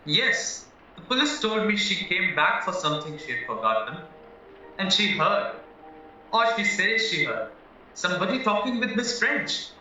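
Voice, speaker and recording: A man's voice: sits high, big pitch swings, moderate pace, sharp tone, a little hiss or hum, heavily acted. A man acting in an audio play as a naive, anxious young husband accused of murder, earnest and rambling as he protests. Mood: defensive. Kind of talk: stage-style audio drama acting. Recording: very echoey, muffled, distant, music underneath.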